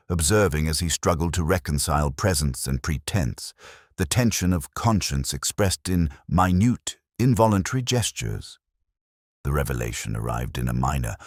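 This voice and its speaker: A man reading a scripted first-person detective story in a measured, formal, reflective manner. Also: extremely low pitch, lively intonation, no echo, clean studio sound. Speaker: audiobook-style story narrator.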